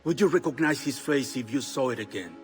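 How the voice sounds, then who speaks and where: A grave mentor of a secret assassin order, played by a man, speaks in a medium-pitched voice. He is stern, formal and measured, in a dramatic video game style, with faint music behind him. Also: expressive, natural voice. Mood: probing, grave.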